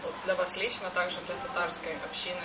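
A woman's voice: on the low side, little pitch movement, fast. A woman interviewer asking brief, probing questions. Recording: distant mic with loud street noise.

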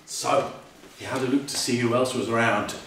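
A man's voice: medium pitch, fairly even intonation, moderate pace, a bit bright. An older man reading a children's storybook aloud theatrically, with exclamations and playful asides. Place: indoor curtained stage. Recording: echoey hall, distant mic, faint noise.

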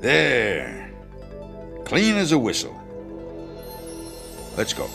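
An actor playing a secretly magical janitor in a radio drama, measured, knowing and quietly threatening. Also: male voice, medium pitch, huge pitch swings, vocal fry, over music, full character voice. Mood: feigned innocence. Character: secretly magical janitor, controlled, quietly menacing older soul.

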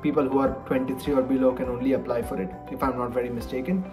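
A man's voice: mid-range voice, fairly even intonation, fast, music in the background. A young man in his twenties from India, a native Bengali speaker with an Indian English accent, earnestly explaining in a personal advice vlog from a study at home. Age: 20s. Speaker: vlogger, college lecturer. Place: home study lined with bookshelves, indoors. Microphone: webcam-style framing, head and shoulders.

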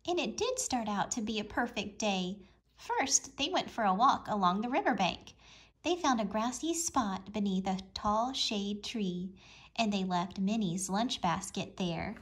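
A woman reading a children's storybook aloud with expressive, deliberate storytelling. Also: medium pitch. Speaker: storybook reader. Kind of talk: children's read-aloud narration.

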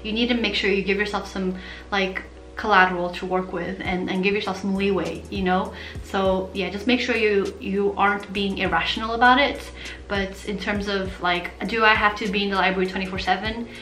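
A young woman from Portugal, talking casually to camera in an animated, chatty vlog style while gesturing with her hands. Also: medium pitch, a little fast, reverberant, soft background music, rough recording. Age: young adult. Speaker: vlogger.

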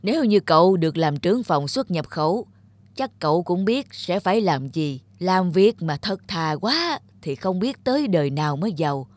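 A woman voicing a shrewd, calculating male factory director in a cartoon, speaking with measured, insinuating authority. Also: lowish voice, lively intonation, darkish tone, no echo. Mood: firm, serious. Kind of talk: cartoon voice acting.

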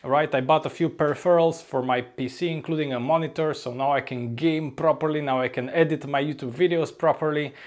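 Upbeat young man, slightly hoarse. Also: fairly high pitch, fairly even intonation, a little fast, few pauses. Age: young adult. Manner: chatty, upbeat, often says "ladies and gents". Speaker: vlogger, flight crew member. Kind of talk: casual vlog to camera. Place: apartment room, indoors. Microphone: lapel mic.

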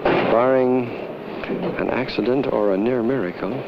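A man with an American accent commentating and hosting a televised bowling match in 1950s style, with folksy, excitable turns of phrase. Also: medium pitch, unhurried. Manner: excitable, folksy exclamations on good shots. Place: bowling alley. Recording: dull, noisy 1950s television soundtrack.

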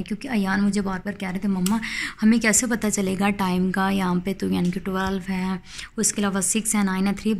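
A woman's voice: medium pitch, vocal fry, darkish tone. A woman, chatting casually as she shows things around her home in a rambling, filler-heavy way. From Pakistan. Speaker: home vlogger. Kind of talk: casual home review vlog. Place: rooms of a home, indoors. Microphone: phone camera held by the speaker, off-screen.

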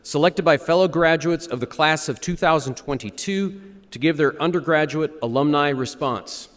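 A man reads a formal speaker introduction in a measured, ceremonial way. His voice sits slightly high for a man. It carries the long reverb of a large auditorium. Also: a bit bright, slight background noise. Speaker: ceremony presenter. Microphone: lectern microphone.

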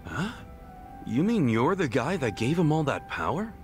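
Male actor, middle-aged street brawler, animated intonation, slangy, earnest, video game cutscene, faint music. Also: mid-range voice, normal speed, close to an everyday voice. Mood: incredulous. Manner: blunt, slangy, incredulous questioning.

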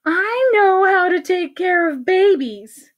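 A woman's voice: very high-pitched, expressive, slow, lo-fi sound, voice pushed up, full character voice. A woman voicing a fussy, self-assured schoolteacher with emphatic delivery in a children's story. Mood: confident. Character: fussy schoolteacher, scolding yet sure of herself.

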